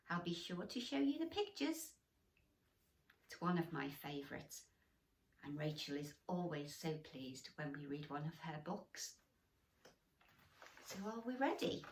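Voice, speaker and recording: Female bedtime storybook reader for small children: slow, expressive, gently encouraging, recorded in an echoey room at a distance. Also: lowish voice. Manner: animated, gently encouraging, addressing children directly. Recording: roomy echo, distant microphone.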